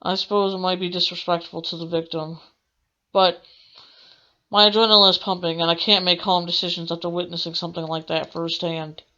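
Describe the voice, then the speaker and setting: Bright, forceful young-sounding woman gamer. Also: on the low side, lo-fi sound. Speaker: gaming channel host. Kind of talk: reading visual novel narration aloud for a let's play.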